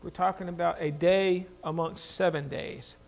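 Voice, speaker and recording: A middle-aged American man giving a conversational sermon in an animated, emphatic way, asking rhetorical questions. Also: high-pitched, moderate pace. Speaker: preacher. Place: church stage at a lectern, indoors.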